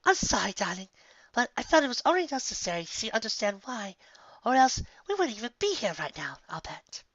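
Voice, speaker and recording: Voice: mid-range voice, very animated, a little fast, fairly bright tone, no echo, lo-fi sound, voice pushed up. A narrator voicing a refined, dramatic female pony fashionista in a story reading. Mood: embarrassed, apologetic.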